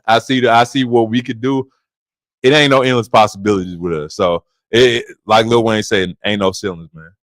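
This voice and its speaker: Earnest deep-voiced male podcast co-host. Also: steady tone, moderate pace, a bit bright, dry recording. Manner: earnest, repeats himself, stumbles on words. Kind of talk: casual podcast chat.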